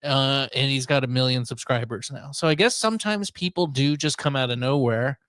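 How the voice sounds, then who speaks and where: A man's voice: medium pitch, a little fast, a bit bright, dry recording. A middle-aged American man chatting casually as a podcast host. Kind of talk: casual chat.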